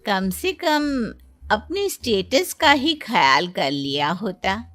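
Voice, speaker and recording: A woman plays a disapproving, snobbish society lady from a storybook. She is condescending, extremely slow and drawn-out, with wildly swinging pitch and a bright, sharp tone. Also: sing-song, faint music behind. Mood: disdainful. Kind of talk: storybook character acting.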